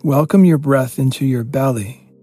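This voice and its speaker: A man leading a guided meditation as a yoga teacher, giving gentle, spacious instructions with pauses. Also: medium pitch, husky, faint music behind.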